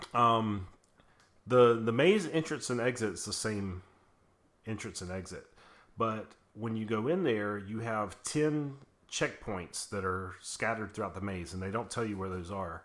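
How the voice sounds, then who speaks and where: A man hosting a podcast, chatting casually in a slightly hoarse, raspy voice, rambling with lots of fillers. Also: on the low side, fairly bright tone. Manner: rambling, many fillers and restarts. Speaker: podcast host. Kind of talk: casual chat.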